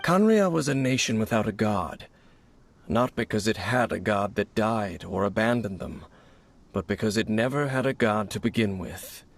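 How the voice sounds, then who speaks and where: A man voicing a solemn, formal knight in a video game, speaking in a measured, grave way. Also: fairly low pitch, vocal fry, full character voice. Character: solemn male knight, grave keeper of ancient secrets.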